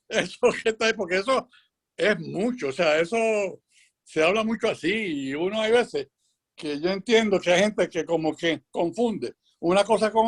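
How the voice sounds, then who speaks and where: A man with a Puerto Rican accent and a notably high voice asks a question on a video call. He works his point out slowly and carefully, leaning on little fillers as he thinks. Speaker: club member asking a question.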